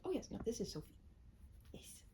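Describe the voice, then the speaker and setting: A woman's voice: a little fast, dark tone, a little hiss or hum. A woman speaking as a storyteller in a cozy, intimate one-to-one style, chatty and a little self-conscious. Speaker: storyteller, host reading own short stories. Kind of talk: cozy roleplay chat to listener, then story read-aloud.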